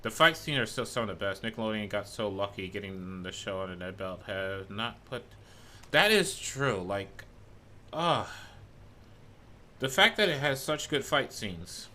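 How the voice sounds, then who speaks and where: A man's voice: on the low side, expressive, a little slow, sharp tone, slight background noise. A man streaming a game in a loose, rambling chat who swears freely, and who is losing his voice. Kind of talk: casual gameplay chat.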